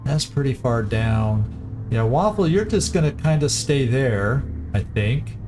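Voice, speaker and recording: A man's voice: mid-range voice, very animated, slow pace. A man commentating a strategy game, thinking aloud with hesitant restarts as he plans each move. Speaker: gameplay commentator. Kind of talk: casual let's-play commentary. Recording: game music playing behind.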